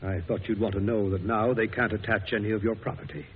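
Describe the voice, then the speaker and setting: Actor as a low-voiced, folksy old-radio President. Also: male voice, steady tone, fast, lightly acted. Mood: quietly pleased. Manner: hesitant, folksy. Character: kindly, plain-spoken general turned President. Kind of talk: old-time radio drama acting. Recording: tinny, hissy old radio recording.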